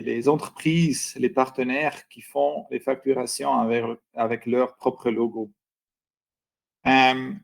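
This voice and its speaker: A man walking listeners through an online presentation in a language that isn't his first. His voice has a gravelly, creaky edge. He stops often to hunt for words and restarts his sentences. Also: mid-range voice, a little mellow. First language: not French. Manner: halting, frequent hesitations and restarts. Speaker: webinar presenter.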